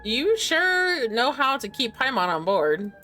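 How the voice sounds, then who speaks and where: Animated female game streamer. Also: high voice. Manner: halting, musing aloud. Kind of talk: casual live gameplay commentary, reading game choices aloud. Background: faint game music.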